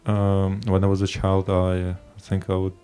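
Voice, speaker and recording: Young male student from Ukraine, very low monotone, hesitant; faint music. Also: clear, resonant tone. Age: young adult. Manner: hesitant, quick answers with fillers. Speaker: contestant.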